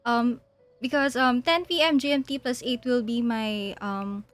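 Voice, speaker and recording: A young woman from Asia hosting a livestream, chatting casually in a halting, giggly way. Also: fairly high pitch, fairly even intonation, faint music behind. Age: young adult. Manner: giggly, frequently laughing mid-sentence. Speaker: livestream host. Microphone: mic on a boom arm.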